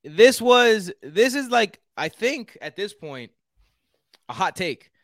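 A man's voice: sits high, sing-song, takes it slowly, a bit bright, no room sound, clean studio sound. A man from Houston co-hosting a casual sports podcast. He talks in a rambling way and repeats words while he thinks. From Houston, Texas. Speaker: podcast co-host.

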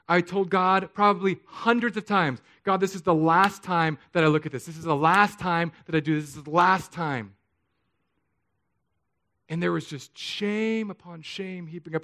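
A man in his thirties from Southern California, preaching a sermon in an earnest, confessional way that swings between soft and emphatic. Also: high-pitched. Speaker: pastor.

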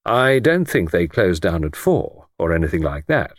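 A man playing a polite, sceptical English policeman in an audiobook, speaking in a measured, laconic and courteous way. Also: low voice, very animated, dry recording, clean studio sound. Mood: mildly doubtful. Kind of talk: audiobook character reading.

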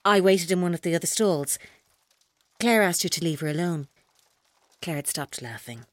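An actress playing a controlling, taunting female captor in an audio drama, speaking in a deliberate, coaxing way. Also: deep voice, no echo. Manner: deliberate, coaxing, coldly mocking. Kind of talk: audio drama acting, monologue-like.

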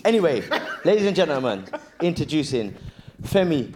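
A man's voice: a little high, very animated, moderate pace, heavy background noise. A man introducing guests on stage, playful and joking, tripping over names. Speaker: event host. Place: stage before a live audience.

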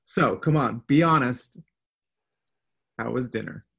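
Man acting a husky, affectionate husband. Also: mid-range voice, slow, fairly bright tone, heavily acted. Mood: teasing. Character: playful, apologetic husband, affectionate and humble. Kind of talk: radio drama acting.

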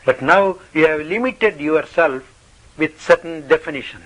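A man giving a spiritual talk in short, playful phrases, pausing for an interpreter. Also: a little high, a bit bright, rough recording. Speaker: spiritual teacher.

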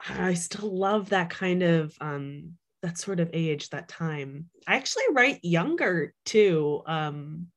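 A woman's voice: on the low side, lively intonation, slow, hardly any pauses. A young adult woman, an author, talking casually in an interview, with lots of fillers, restarts and laughs. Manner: chatty, many fillers and restarts, laughs often. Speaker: author guest.

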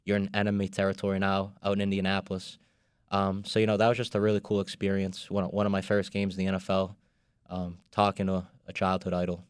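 A man's voice: sits low, completely flat delivery, quick pace, clear, resonant tone, dry recording, studio quality. A young man with a Boston accent, a podcast guest telling a story with many ums and restarts. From Boston area. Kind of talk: casual podcast chat.

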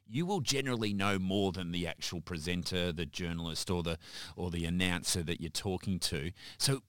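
A man's voice: low voice, moderate pace, fairly bright tone, clear, resonant tone, no echo, clean studio sound. A man hosting a conversational interview in a wordy, encouraging way, often repeating his phrases. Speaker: interview host.

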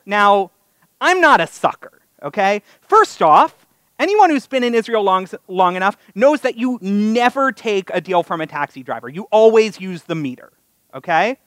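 A North American man with a high voice delivers a sermon as a personal story. His pitch swings widely, with a wry, self-deprecating touch. The sound is dry and close. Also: animated, normal speed, fairly bright tone, dry recording. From North America (US and Canada). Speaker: rabbi giving a sermon. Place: live synagogue service.

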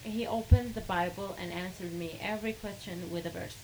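A woman with an Arabic accent who speaks haltingly, with many fillers, and laughs between sentences as she tells a personal story. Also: mid-range voice, steady tone, mellow, a little hiss or hum, poor audio. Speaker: guest sharing a testimony.

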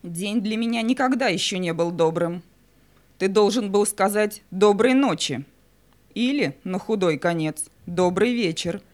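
Woman playing majestic night spirit queen, stern n imperious, medium pitch, fairy tale audio drama. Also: a little slow, a little mellow, no room sound, natural voice. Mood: haughty, stern. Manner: haughty, imperious. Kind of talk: audio play acting.

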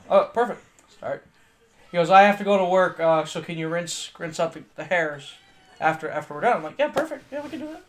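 Young southern dude, kinda high voice, laughing and swearing through a barbershop story. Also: male voice. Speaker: podcast co-host, barber. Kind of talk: casual storytelling chat.

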